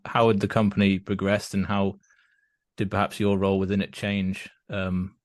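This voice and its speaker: A man's voice: sits low, monotone, moderate pace, no echo, clean studio sound. A man hosting an interview podcast, asking questions with hesitant restarts.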